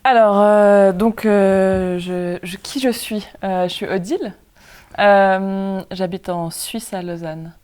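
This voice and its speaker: A woman's voice: mid-range voice, fairly even intonation, darkish tone, clean tone. A young woman born in Spain, an improv performer, answering interview questions casually with many fillers. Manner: hesitant, many fillers, quick asides. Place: outdoors at a campsite lake.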